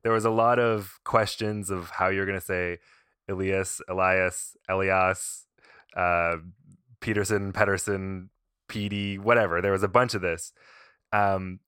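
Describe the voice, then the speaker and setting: A man's voice: fairly low pitch, sharp tone, clean tone, no echo, studio quality. A man hosting a hockey podcast, chatting casually with a guest and laughing often as he tells stories. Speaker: podcast host. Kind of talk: casual chat.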